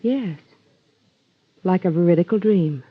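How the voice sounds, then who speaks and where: A woman's voice: lowish voice, measured pace, slightly rough, no echo. An actress playing an earnest, coaxing young woman who believes in psychic things, in 1950s radio drama style. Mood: earnest, insistent. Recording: dull old radio recording.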